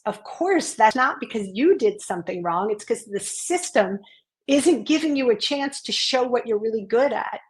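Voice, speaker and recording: Earnest woman telling school stories. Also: medium pitch. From Maine, US. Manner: earnest, reflective, animated when telling stories. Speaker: guest, education consultant and author. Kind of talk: podcast interview storytelling.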